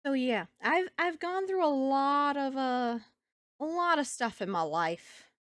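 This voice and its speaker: A woman streamer chatting casually with her live chat, telling stories in an animated way and laughing often. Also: high voice, measured pace, no echo, clean studio sound. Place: home streaming setup.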